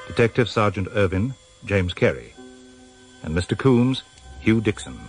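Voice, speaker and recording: Low-pitched male radio announcer over music. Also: big pitch swings, unhurried, low-quality recording. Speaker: continuity announcer reading credits. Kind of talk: formal scripted announcement. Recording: vintage radio recording with music.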